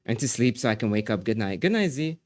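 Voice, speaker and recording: A man streaming live, casually reading and answering chat messages, often trailing off quietly at the ends of his phrases. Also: medium pitch, sing-song, fast, dry recording.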